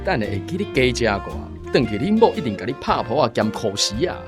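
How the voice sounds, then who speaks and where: Radio drama actor as jovial male troupe mate, Taiwanese accent, lively intonation, music bed. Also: medium pitch, quick pace, lightly acted. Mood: amused, teasing. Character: jovial troupe member chatting at dinner.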